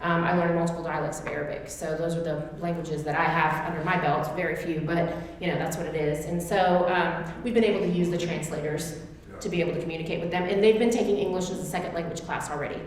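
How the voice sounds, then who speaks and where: A woman's voice: on the low side, fairly even intonation, fast. A woman from Oklahoma with an Oklahoma accent whose first language was Turkish, chatting at length as a guest speaker to a church class. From Tulsa, Oklahoma. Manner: chatty, answers questions at length. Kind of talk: informal class talk. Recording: echoey hall with long reverb.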